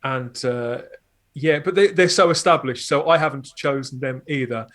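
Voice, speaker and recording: A man's voice: mid-range voice, moderate pace, fairly bright tone. A man guesting on a podcast, talking casually and discursively, often interrupting his own sentences.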